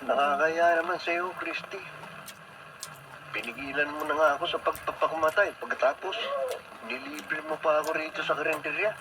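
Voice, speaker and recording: A man's voice: on the high side, steady tone, normal speed, lightly acted. An actor with a Filipino accent playing a lovestruck, dramatic young male student in a radio drama, pleading and persistent. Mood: hopeful, wooing. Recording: very noisy radio broadcast.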